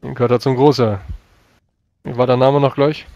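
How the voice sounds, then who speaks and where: A man's voice: mid-range voice, fairly even intonation, moderate pace, mellow, no echo, muffled recording. A man who plays a tabletop roleplaying game, talking casually through his plans.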